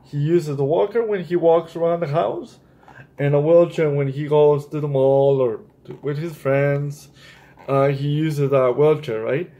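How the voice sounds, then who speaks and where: Male children's storytime host: notably high voice, unhurried read-aloud delivery, speaking straight to kids. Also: fairly even intonation, dark tone. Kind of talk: animated read-aloud of a picture book with explanatory asides.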